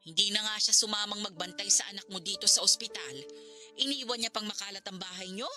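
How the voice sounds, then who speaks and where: A woman's voice: mid-range voice, fast, sharp tone, soft background music. A woman playing a protective, disapproving middle-aged grandmother in a Filipino radio drama. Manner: assertive, motherly.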